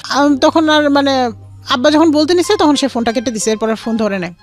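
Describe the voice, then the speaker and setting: Fast-talking young Bangladeshi woman. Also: high voice. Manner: mixes in English words. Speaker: guest telling her life story. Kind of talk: personal storytelling in an interview.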